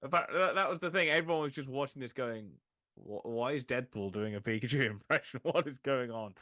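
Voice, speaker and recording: A man hosting a casual film discussion, recapping in a rambling way with animated asides. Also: medium pitch, brisk, crowd noise. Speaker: podcast host.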